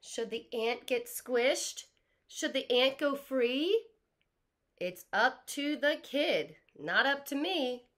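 A woman with a fairly high, very animated voice reads a picture book aloud at a slow pace. She sounds like a teacher, stepping out of the story to explain simply and ask questions. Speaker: teacher reading aloud to children. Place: living room at home.

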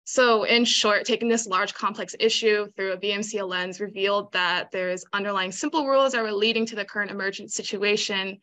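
A young woman giving a measured research presentation on a video call. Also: medium pitch, steady tone, bright, forceful tone. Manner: measured, explanatory. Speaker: student presenter. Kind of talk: conference presentation.

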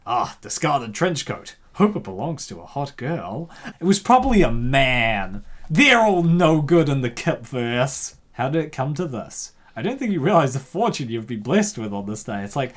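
Animated woman doing playful comedic commentary. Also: a bass voice, moderate pace. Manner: excitable, theatrical, playful. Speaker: host reacting to a web comic.

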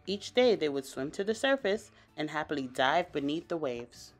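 Woman reading a kids picture book, lowish voice, super expressive, bit of music behind. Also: normal speed. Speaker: read-aloud storyteller.

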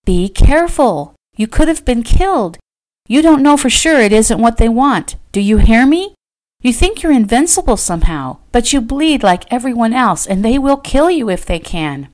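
Young Western romance heroine, acted by a female narrator: spirited, outspoken, anxious, lifted pitch. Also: very animated, moderate pace, few pauses, darkish tone. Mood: urgent, scolding. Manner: emphatic, outspoken, fretting.

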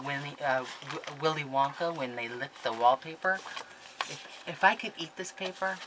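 A woman's voice: low voice, noisy background. A woman in her fifties chatting in a rambling, gushing way while showing craft supplies from her craft room, recorded on a desk webcam. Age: 50s. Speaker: scrapbooking vlogger. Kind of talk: casual chatty haul video. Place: craft room at home, indoors.

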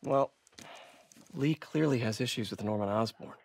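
An actor playing a young American superhero in a video game, wisecracking, boyish and earnest, slightly flustered. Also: male voice, medium pitch, normal speed, vocal fry, a little mellow, breathy edge, dry recording. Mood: focused. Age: young adult. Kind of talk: video game cutscene acting.

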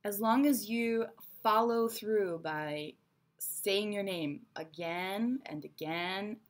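A woman in her thirties, raised between Israel and America, giving a chatty, frank English lesson to her webcam in a small bright room. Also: mid-range voice, takes it slowly. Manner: chatty, frank, teacherly asides. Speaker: English teacher. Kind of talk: online English lesson to camera. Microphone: webcam at desk.

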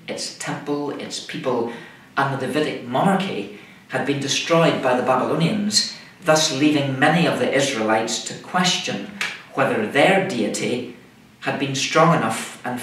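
A middle-aged man preaches from a script in measured, deliberate phrases. His pitch is mid-range. The room is very reverberant, with the microphone at a distance and faint noise behind him. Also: fairly bright tone. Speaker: minister preaching. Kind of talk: scripted sermon. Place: indoors, small room, seated at a table.

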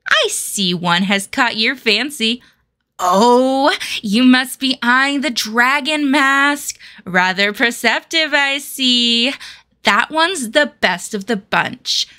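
A woman's voice: fairly high pitch, pushed and bright, exaggerated voice. A woman voicing a friendly, sly festival merchant with coaxing sales patter in a fantasy game demo.

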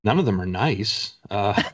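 A man's voice: on the low side, husky. A middle-aged man from Canada chatting casually as an author being interviewed, with a conversational, self-deprecating humor. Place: book-lined room indoors, video call. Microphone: video call. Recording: slightly dull video-call audio.

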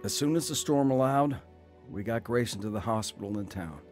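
A man playing a contrite older brother, earnest and gently wry, in a radio drama. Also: medium pitch, a little fast, over music, natural voice. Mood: narrating, warm. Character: contrite older brother, newly grateful and sincere.

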